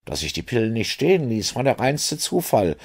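A blunt, skeptical inspector , as a man puts him on, talks in a raised, somewhat strained voice. He lays out facts flatly and with a hint of scorn, in a storybook reading. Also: mid-range voice, normal speed, husky, voice pushed up. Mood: dismissive.

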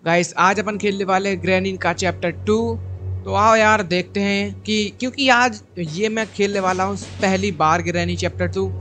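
High-voiced young man, gaming commentary. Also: fairly even intonation, moderate pace, sharp tone. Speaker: gaming video host. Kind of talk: casual game commentary. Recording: game music behind the voice.

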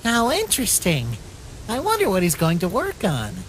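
Man as a small cartoon flower, earnest, coaxing, curious, lively pitch, noisy background. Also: moderate pace. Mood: sweet, eager. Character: small talking flower, male, sweetly polite and inquisitive. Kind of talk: animated comic dub acting.

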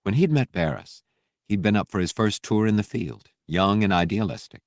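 A man reading a fiction audiobook as narrator, with measured, dramatic storytelling delivery. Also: fairly low pitch, no room sound.

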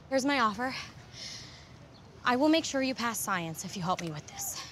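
A sarcastic, determined American high school girl with a fairly high voice. She is blunt, wry and wordy, in natural film-comedy style. It is recorded outdoors over clearly noticeable background noise. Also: lively intonation, measured pace, fairly bright tone. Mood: exasperated, blunt. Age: young adult. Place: open outdoor sports field.

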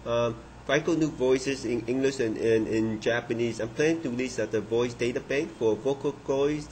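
Male developer presenting a demo, halting and unscripted, medium pitch, some background noise. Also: darkish tone. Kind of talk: software demonstration. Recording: clearly noisy room recording.

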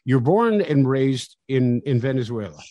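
A man hosting a podcast interview, asking questions with frequent halting restarts. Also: mid-range voice, very animated, dark tone, no room sound, studio quality. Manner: halting, repeats words. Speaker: podcast host.

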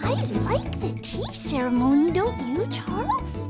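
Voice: very high voice, huge pitch swings, measured pace, loud music behind the voice, heavily acted. A performer voicing an eager, friendly little kindergarten girl in a children's cartoon, bubbly and keen.

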